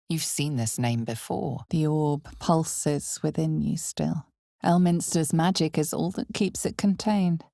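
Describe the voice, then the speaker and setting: An ominous, knowing game narrator with a very low voice full of gravelly fry. She tells a dark fantasy tale to the listener slowly and deliberately. The recording is close and dry. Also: dry recording, full character voice. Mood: hushed, portentous. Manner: measured, deliberate, portentous delivery. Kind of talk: dramatic scripted second-person fantasy narration.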